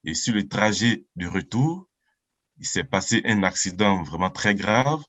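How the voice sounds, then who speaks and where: A man in his forties from Côte d'Ivoire giving an earnest, halting personal testimony as a baptism candidate. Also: on the low side, lively intonation, a bit bright, no room sound. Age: 40s.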